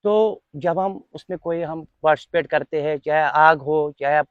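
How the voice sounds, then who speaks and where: A man's voice: fairly high pitch, a little slow, no echo, muffled recording. A young man with a Kashmiri accent giving unscripted interview answers, earnest and emphatic, often repeating his phrases. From Kashmir, India. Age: young adult. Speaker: volunteer being interviewed.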